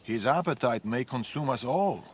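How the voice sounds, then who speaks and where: A man's voice: medium pitch, expressive, moderate pace, slight background noise, lightly acted. An actor playing a dreamy, fond man in a video game, with a poetic, playful way of savoring words. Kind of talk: naturalistic video game cutscene acting.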